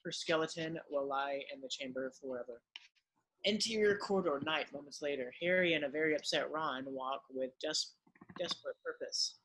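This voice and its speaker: A man's voice: on the high side, normal speed, fairly bright tone, a little hiss or hum, low-quality recording. A man narrating, reading the stage directions of a screenplay in an amateur read-through.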